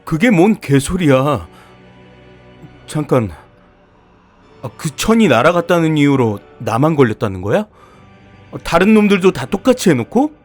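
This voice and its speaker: Guy voicing an upset cursed young dude, pitch jumping all over, faint music behind. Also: sing-song, moderate pace, long pauses, darkish tone. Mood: indignant. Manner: protesting, exasperated outbursts. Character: frightened, indignant young man confronting a curse.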